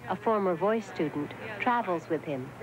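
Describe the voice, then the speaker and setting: A woman giving scripted documentary narration in an even, informative manner. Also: lowish voice, normal speed, a crowd in the background. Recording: dull lo-fi tape with music behind.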